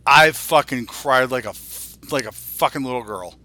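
A man's voice: mid-range voice, normal speed, sharp tone. A man in his forties hosting a podcast with casual, swearing banter and a blunt, joking manner. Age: 40s. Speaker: podcast host.

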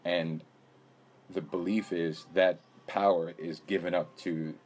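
A man's voice: low-pitched, level tone, takes it slowly, a little mellow, a little hiss or hum. A man hosting a podcast interview in a casual, rambling way, hedging and laughing often. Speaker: podcast host.

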